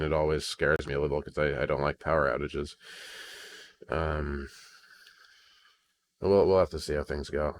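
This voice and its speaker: Very deep-voiced American man, hesitant rambling. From Maine, United States. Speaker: video creator recording an audio log. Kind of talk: casual unscripted solo audio diary. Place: at home at a computer. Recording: crackling home computer recording.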